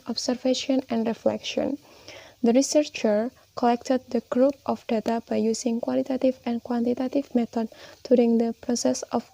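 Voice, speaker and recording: A woman's voice: a little high, dark tone, no echo, rough recording. A young woman with an Indonesian accent, a student reading her poster presentation aloud carefully and steadily, now and then correcting herself. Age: young adult.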